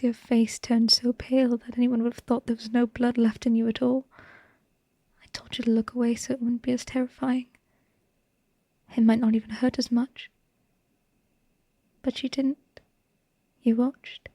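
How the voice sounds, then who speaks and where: Woman voicing a loving vampire wife, melancholy, intimate ASMR roleplay, breathy hush, even pitch, close dry sound. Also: dry recording. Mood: fond, wistful. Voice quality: breathy, hushed whisper. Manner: slow, tender, halting delivery with lingering pauses. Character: devoted, melancholy female vampire wife, tender and haunted.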